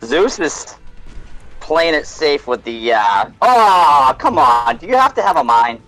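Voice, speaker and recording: Man, gamer, very lively voice, excitable, laughing, teasing. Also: fairly high pitch, takes it slowly, faint music behind. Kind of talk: casual gaming chat.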